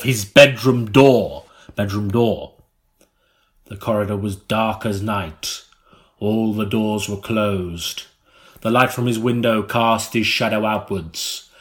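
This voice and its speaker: Melodramatic male audiobook narrator. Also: lowish voice. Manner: melodramatic, deliberate delivery. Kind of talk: mock-serious scripted gothic narration. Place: recording studio.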